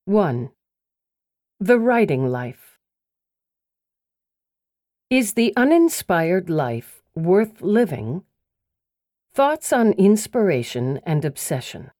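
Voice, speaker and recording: Mellow, measured woman narrating literary prose. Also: on the low side, big pitch swings, no room sound, clean studio sound. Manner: measured, deliberate, precise phrasing. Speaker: audiobook narrator. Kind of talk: scripted literary essay and poetry narration.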